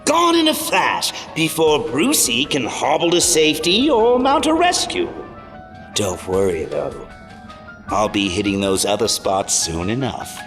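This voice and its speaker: Crazy gloating clown supervillain for an audio drama, super animated, mocking, music behind him. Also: male voice, medium pitch, unhurried. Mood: mock sweet. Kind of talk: audio drama villain acting.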